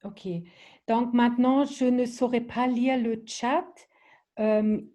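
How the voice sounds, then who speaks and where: German-accented woman, slow and hesitant. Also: on the high side, fairly even intonation, dark tone. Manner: hesitant, many fillers and restarts. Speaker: presenter of a training webinar. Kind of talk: online training presentation.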